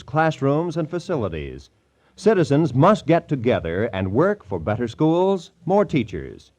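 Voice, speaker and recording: A male American announcer from 1940s radio. His medium-pitched voice rises and falls in an animated way as he delivers scripted copy formally and emphatically.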